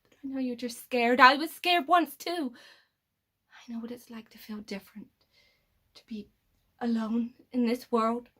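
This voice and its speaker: Woman acting a brooding, high-voiced psychic killer. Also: steady tone, a bit bright. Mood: pressing, intense, then quiet, wounded. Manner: slow dramatic pauses, sinking into breathy whispers. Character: brooding, embittered, coldly superior killer with psychic powers. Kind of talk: dramatic monologue performance.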